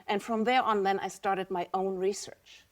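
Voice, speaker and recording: A woman in her fifties with a German accent speaks as a podcast guest at a middle pitch. She talks candidly and reflectively. Her pitch rises and dips as she works through her thoughts. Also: fairly even intonation, moderate pace. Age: 50s. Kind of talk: casual interview talk.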